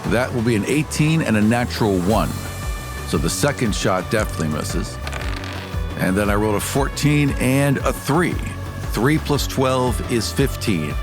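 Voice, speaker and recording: A man's voice: mid-range voice, expressive, normal speed. A man playing a tabletop game, casually describing his moves and calling out dice results. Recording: music bed under the voice.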